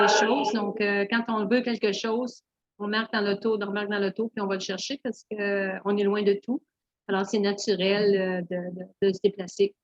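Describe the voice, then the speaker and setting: A middle-aged Franco-Ontarian woman tells her story as an interview guest, searching for words, full of small restarts and soft fillers. The sound is dull and muffled, a lo-fi recording with a dry, close feel. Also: mid-range voice, no echo. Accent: Franco-Ontarian French. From Northern Ontario, Canada. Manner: many fillers and restarts, simple and candid. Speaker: guest, naturopath. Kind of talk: hesitant conversational storytelling.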